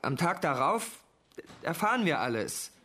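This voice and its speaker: Radio crime drama: an uneasy schoolboy under questioning, higher-pitched, hesitant and defensive. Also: male voice, very animated, normal speed, sharp tone, heavily acted. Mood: uneasy, insistent. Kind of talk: German radio play acting.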